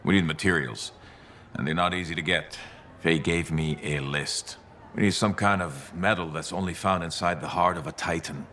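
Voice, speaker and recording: A deep-voiced, steady mentor. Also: male voice, normal speed, a little hiss or hum. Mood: matter-of-fact. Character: steady, pragmatic male mentor to a hero. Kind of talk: video game cutscene acting.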